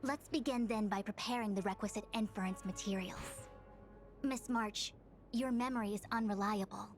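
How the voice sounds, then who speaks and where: A woman's voice: mid-range voice, moderate pace, soft background music, lightly acted. A woman playing a learned, exacting diviner in a video game, speaking formally and precisely in long explanations. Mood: composed, businesslike. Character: learned, exacting female diviner, long-winded and self-assured. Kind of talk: scripted video game character dialogue.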